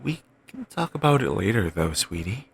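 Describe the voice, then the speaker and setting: A man voicing an obsessive, doting young boyfriend, rambling softly in a bedtime comfort roleplay. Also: fairly low pitch, very animated, moderate pace, gravelly, slight background noise. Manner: soft, rambling, affectionate murmuring.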